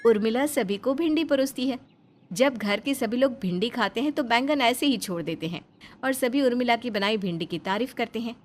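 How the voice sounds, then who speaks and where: A woman's voice: fairly high pitch, animated, moderate pace, a little hiss or hum. A woman narrator reading a scripted family story.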